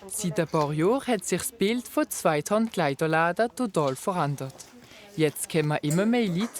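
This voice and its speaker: A woman narrating a regional television news report and interviewing a guest. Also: lowish voice.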